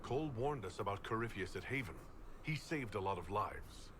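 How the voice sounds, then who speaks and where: An actor playing an earnest, burdened fantasy leader in a video game, speaking with understated gravity. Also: male voice, fairly low pitch, expressive, moderate pace. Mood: grave. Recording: echoey, quiet game audio, faint noise.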